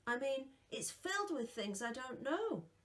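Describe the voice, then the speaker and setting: An earnest young boy raised among the dead, as a woman reading aloud puts him on, speaks quickly in a raised, eager voice. He pushes his words out in a rush, a storybook child set on having his way. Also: high voice, very animated, a bit bright, voice pushed up, full character voice.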